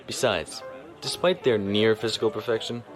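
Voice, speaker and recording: A man's voice: lowish voice, normal speed, over music, close to an everyday voice. An actor playing a stiff, formal young male naval officer who speaks properly and reservedly.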